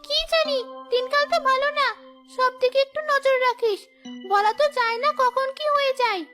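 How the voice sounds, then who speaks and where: A gossipy middle-aged cartoon aunty, pitched piercingly high above background music. She meddles, nags and grumbles at everything. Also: normal speed. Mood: meddling, warning. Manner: nagging, complaining, gossipy. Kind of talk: children's cartoon story acting.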